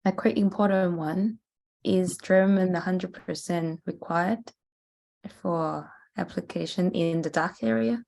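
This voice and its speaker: A woman's voice: lowish voice, unhurried, gravelly, a little mellow. A woman taking part in a webinar, asking casual questions over a video call. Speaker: webinar participant.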